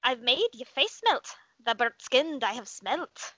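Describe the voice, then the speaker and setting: A woman's voice: sits high, very animated, unhurried, sharp tone, no room sound, muffled recording, full character voice. A woman voicing a foul-mouthed, mocking leprechaun villain who taunts with exasperated menace. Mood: menacing.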